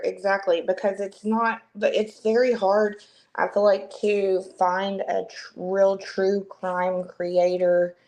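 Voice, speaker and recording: A woman's voice: mid-range voice, a little mellow. A woman hosting a casual livestream chat, chatty, with lots of fillers and restarts. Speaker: livestream host.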